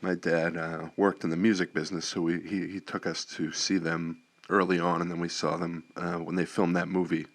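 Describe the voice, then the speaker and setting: A man in his fifties hosting a podcast interview, easygoing and quick to agree. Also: low voice, level tone. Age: 50s. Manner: easygoing, lots of fillers and agreeing "yeah". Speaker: podcast host. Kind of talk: casual interview.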